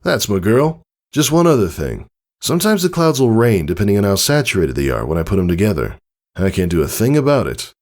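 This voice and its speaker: A man's voice: on the low side, very animated, brisk, a little mellow, voice pushed up. Man playing an earnest, patient pegasus dad. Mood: encouraging. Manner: earnest, instructional, encouraging. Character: caring, patient adult male pegasus father coaching his child. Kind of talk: dramatized storybook character reading.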